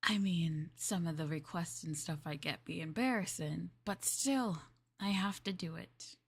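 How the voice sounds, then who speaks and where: A woman's voice: on the low side, unhurried, vocal fry, breathy edge. A woman streamer playing a maid in a roleplay, chatting with viewers in a playful, teasing and politely formal way.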